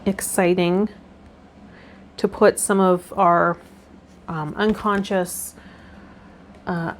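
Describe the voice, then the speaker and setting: A woman hosting a tarot vlog, reading card meanings and then talking loosely and gently about the week ahead. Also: fairly low pitch, fairly even intonation, extremely slow, slight background noise. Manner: loose and rambling with fillers when unscripted. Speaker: tarot vlogger.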